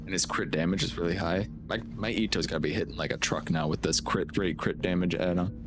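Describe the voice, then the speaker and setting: A man's voice: sits low, steady tone, quick pace. A man livestreaming a video game, chatting in a casual, laid-back and sarcastic way with some swearing. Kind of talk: casual gaming commentary with swearing. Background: game music.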